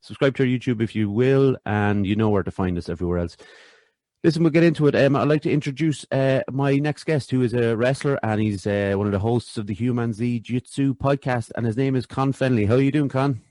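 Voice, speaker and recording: Fast-talking podcast host. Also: male voice, medium pitch, warm tone, no echo. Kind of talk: casual interview chat.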